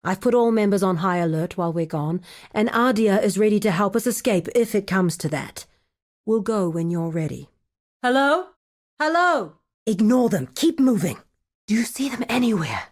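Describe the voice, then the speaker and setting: A composed, dutiful female crime lieutenant played by a woman for a video game. Her speech is measured and formal, all business, yet the pitch moves in a lively, animated way at a middling height for a woman. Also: close to an everyday voice. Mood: focused, businesslike, then alert. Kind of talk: video game character voice acting.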